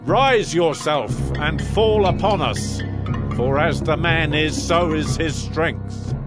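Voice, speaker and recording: Proud captive desert king, high male voice, defiant and deliberate, biblical audio drama with music underneath. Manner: deliberate, declamatory. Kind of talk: dramatized scripture audio acting.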